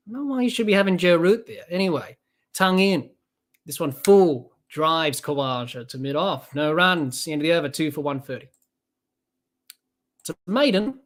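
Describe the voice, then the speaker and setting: A young Australian podcast host calls a cricket match live in a loose, rambling way. They chat to listeners and read out their comments between deliveries. The voice sits at an ordinary middle pitch. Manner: chatty, rambling, reads out viewer comments.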